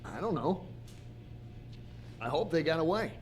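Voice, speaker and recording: An actor playing a cocky, skeptical photojournalist, blunt and inquisitive, in a video game cutscene. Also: male voice, fairly high pitch, big pitch swings, a little slow, slight background noise. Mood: uneasy. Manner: blunt, questioning, wry.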